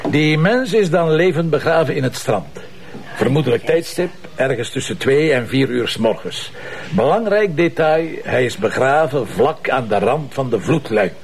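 A man with a Flemish accent playing a brusque, impatient police commissioner in a crime drama, brisk and bossy. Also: medium pitch, expressive, vocal fry. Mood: businesslike. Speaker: actor.

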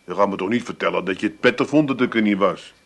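An actor playing a flustered young Amsterdam husband in a radio play, stammering and apologetic. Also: male voice, on the low side, fast talker.

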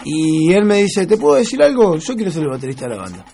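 A man's voice: a little high, very animated, fast, gravelly, warm tone, slight background noise. A man in his twenties with an Argentine accent, chatting casually as a musician being interviewed. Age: 20s.

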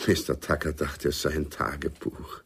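Low-voiced probing police inspector. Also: male voice, monotone, creaky, fairly bright tone, husky, heavily acted. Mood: insinuating, polite. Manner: polite, probing, deliberate. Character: courteous, insinuating, methodical male police inspector. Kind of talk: German radio crime drama acting.

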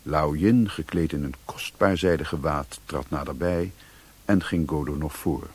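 A man's voice: extremely low pitch, measured pace, a little mellow, husky. A man narrating a radio play from a script, as its storyteller.

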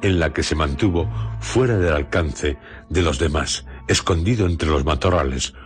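A man with a Castilian accent narrating a scripted radio drama in a measured, solemn storytelling manner. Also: very deep voice. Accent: Castilian Spanish. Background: music.

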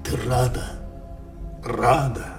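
An actor playing a tyrannical, feverishly passionate king in a declamatory radio drama style, with drawn-out words and sudden outbursts. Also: mid-range voice, fairly even intonation. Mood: restless tenderness. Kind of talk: Russian theatrical radio drama acting. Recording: roomy, distant voice over loud music.

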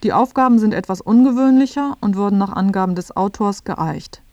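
A woman's voice: mid-range voice, brisk, darkish tone, no echo, lo-fi sound, lightly acted. A woman playing a dry, matter-of-fact presenter, reading scripted narration for a satirical radio feature.

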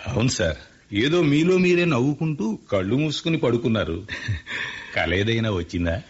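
Brief: a polite, attentive aide, husky, muffled radio sound. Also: male voice, mid-range voice, big pitch swings, moderate pace. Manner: deferential, attentive. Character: dutiful male assistant. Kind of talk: radio play acting. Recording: dull lo-fi radio recording.

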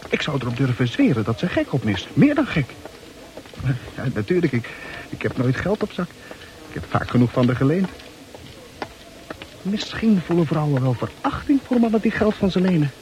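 An actor playing a fretful muttering bachelor. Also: male voice, a little high, sing-song, moderate pace, long pauses, darkish tone. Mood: fretting. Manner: anxious inner monologue. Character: nervous bachelor muttering his thoughts. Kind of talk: 1960s radio play acting. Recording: noisy old radio play recording.

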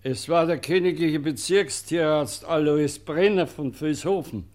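A man's voice: on the high side, a little slow, darkish tone, close to an everyday voice. A man playing a folksy, emphatic witness with a Bavarian accent, recounting events in a radio play. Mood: indignant recounting. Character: folksy, emphatic male witness recounting old village scandal.

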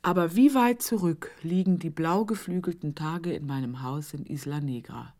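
An actress in a radio drama playing a woman who reads an affectionate, florid letter aloud, deliberately, savouring each phrase with pauses. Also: sits low, mellow, close to an everyday voice. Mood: wistful, wry.

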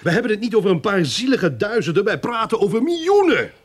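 An actor playing a cocky, scheming corrupt policeman in a radio drama, with a husky voice. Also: high voice, very animated, fast talker, exaggerated voice. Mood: persuasive.

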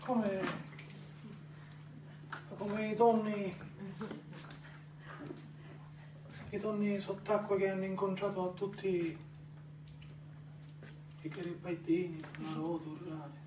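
An actor playing an entranced storyteller in a poetic theatrical monologue, lyrical and full of incantatory repetition. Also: male voice, high voice, little pitch movement, unhurried, pauses often, noisy background. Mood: hushed wonder. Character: rapt storyteller visitor, dreamily entranced by swimming tuna. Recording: echoey room, distant mic, music behind. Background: clear music.

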